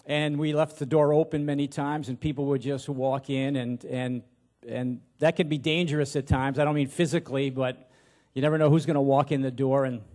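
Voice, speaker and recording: A man's voice: medium pitch, steady tone, normal speed, darkish tone. A man in his sixties, a pastor, giving an informal sermon in a hesitant, self-deprecating, folksy way into a handheld microphone in a church auditorium. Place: large church auditorium, on stage at a pulpit.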